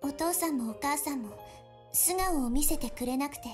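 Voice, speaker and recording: Woman voicing a lonely anime game girl, highish soft voice, earnest n hesitant, music under it. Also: quick pace, lightly acted. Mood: lonely, uneasy. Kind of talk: Japanese visual novel voice acting.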